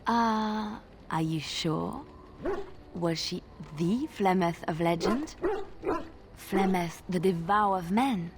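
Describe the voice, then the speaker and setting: Lowish-voiced, lyrical female fantasy minstrel. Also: noisy background. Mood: ominous. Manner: measured, suspenseful, lyrical delivery. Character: female traveling minstrel, soft-spoken, wistful storyteller. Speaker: game companion character. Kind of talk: dramatic storytelling in a fantasy game.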